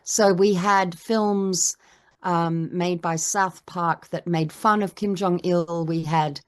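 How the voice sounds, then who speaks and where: Middle-aged woman filmmaker, lowish voice, thoughtful and measured, lots of pauses. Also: steady tone. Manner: reflective, measured, with frequent pauses. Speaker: documentary filmmaker. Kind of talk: interview answers.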